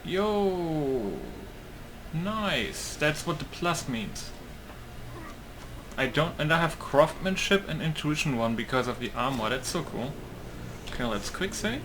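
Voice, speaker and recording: A man giving a casual let's play commentary, thinking aloud in a halting way. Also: a little high, animated. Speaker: gaming YouTuber. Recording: clear game noise behind. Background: video game ambience.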